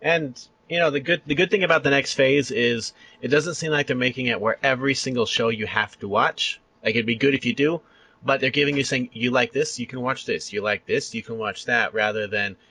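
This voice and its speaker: Raspy, bright-voiced man chatting as podcast host. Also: medium pitch, a little fast. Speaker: podcast interviewer. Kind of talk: casual fan chat about entertainment.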